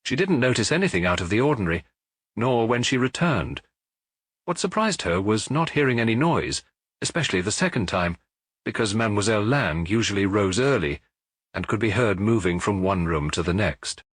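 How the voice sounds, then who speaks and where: A man reading as a husky, matter-of-fact police officer. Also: lowish voice, animated, dry recording. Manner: measured, matter-of-fact reporting. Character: middle-aged male police officer giving a report. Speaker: audiobook reader. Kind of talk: audiobook dialogue reading.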